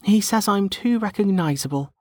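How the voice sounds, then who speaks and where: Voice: medium pitch, expressive, moderate pace, a little mellow, slightly rough, dry recording, studio quality, voice pushed up. A narrator voicing a flustered, indignant young woman who protests bluntly, in an audiobook. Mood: exasperated. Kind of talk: audiobook character dialogue.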